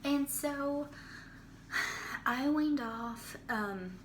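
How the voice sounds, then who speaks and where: A young woman with a hoarse voice from being sick, talking casually into her phone in a rambling personal update with many ums and restarts. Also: high-pitched, extremely slow, a little hiss or hum, low-quality recording. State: hoarse from illness. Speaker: vlogger. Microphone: phone propped up.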